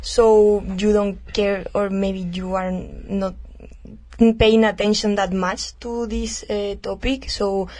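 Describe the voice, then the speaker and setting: A woman's voice: mid-range voice, level tone, slow, mellow. A young woman hosting a casual volunteer radio show, friendly, and hesitant with fillers when giving her own opinion. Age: young adult.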